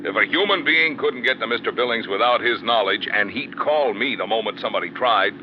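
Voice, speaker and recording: A small-town police chief, acted by a man, practical and plain-spoken, with a slightly low male voice. It is an old radio play, dull in tone with clear background noise. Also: a little fast, natural voice. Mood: reasoning, puzzled. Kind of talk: 1950s radio drama acting.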